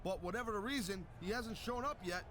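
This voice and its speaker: An actor playing an informal, opinionated wrestling colour commentator in a video game. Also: male voice, sits high, brisk, fairly bright tone, a little hiss or hum. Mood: puzzled.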